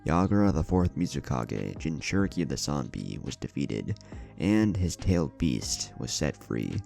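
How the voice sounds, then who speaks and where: A man's voice: extremely low pitch, sing-song, moderate pace, vocal fry, warm tone, faint music behind, exaggerated voice. A man voicing a confident, playful young male ninja leader in a story reading, casual and teasing. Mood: reporting.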